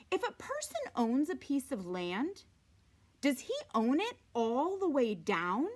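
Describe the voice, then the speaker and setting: A woman with a high, very animated voice reads a story aloud. She takes her time, shaping phrases deliberately and dramatically, as in an expressive read-aloud for listeners following along. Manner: deliberate, dramatic phrasing, sometimes dropping to a hush.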